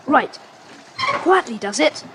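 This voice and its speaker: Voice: high-pitched, very animated, slow, background noise, heavily acted. A performer playing a game but cautious young schoolboy adventurer in a children's audiobook drama, earnest and well-spoken. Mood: eager, cautious.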